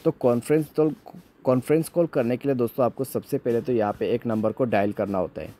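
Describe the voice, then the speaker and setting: A man's voice: medium pitch, fast talker, dark tone. A man giving a step-by-step phone tutorial as host, mixing in English and repeating check-in phrases, with noise behind him. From India. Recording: clear background noise.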